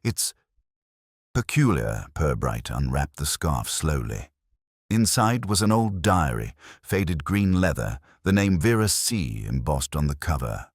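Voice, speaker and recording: A man narrating a scripted mystery story in a measured, literary manner. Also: rumbling low, very animated, no room sound, clean studio sound.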